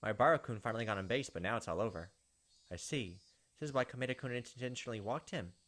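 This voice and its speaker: A man's voice: mid-range voice, lively intonation, fast, a bit bright. A man hosting a gameplay video, reading a visual novel aloud as dramatized, playful narration.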